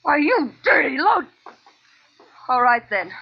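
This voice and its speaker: An old radio melodrama with dull sound. a desperate, furious female schemer, her high voice swinging widely as she threatens. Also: very animated, slow, heavily acted. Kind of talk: 1940s radio melodrama acting. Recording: muffled vintage 1940s radio recording.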